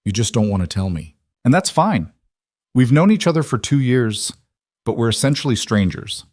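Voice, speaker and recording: A man's voice: medium pitch, very animated, moderate pace, no echo, studio quality. A man voicing an intense mafia boss in an audio romance, direct and earnest, a little socially awkward. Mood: confiding.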